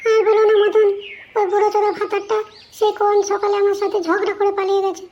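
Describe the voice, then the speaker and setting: A flirty, grumbling cartoon wife with an extremely high, squeaky and monotone delivery. She talks very quickly, and the lo-fi recording is dull. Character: shrill, flirty, dissatisfied wife in a comedy cartoon. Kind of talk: amateur comedy cartoon dialogue. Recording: dull lo-fi audio with faint noise.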